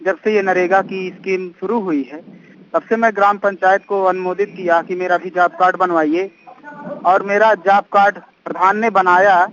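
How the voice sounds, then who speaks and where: A man's voice: sits high, steady tone, moderate pace, a bit bright, a little hiss or hum. A male interviewee tells his own experience. The recording is dull and lo-fi, like a radio feed. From Kaushambi, Uttar Pradesh, India. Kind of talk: spoken first-person testimony.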